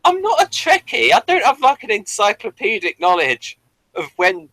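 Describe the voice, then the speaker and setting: A man with a very animated, up-and-down delivery, recorded close and dry. He chats casually as a review co-host, stumbling over and repeating the starts of his sentences. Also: very high-pitched, normal speed, no room sound, low-quality recording. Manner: stammering, repeats phrase starts. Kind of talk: casual unscripted review chat.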